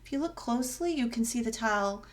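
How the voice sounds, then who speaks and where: A woman's voice: fairly high pitch, lively intonation, fast talker, close to an everyday voice. A woman reading a children's story aloud, voicing a curious, bold schoolgirl in an emphatic, wondering way. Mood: excited. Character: curious, bold schoolgirl, easily exasperated by her friend.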